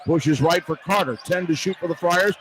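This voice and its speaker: A man calling live basketball play-by-play on radio from a noisy arena, in excited, clipped phrases that follow the action. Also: on the high side, moderate pace. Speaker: play-by-play announcer. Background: loud arena noise.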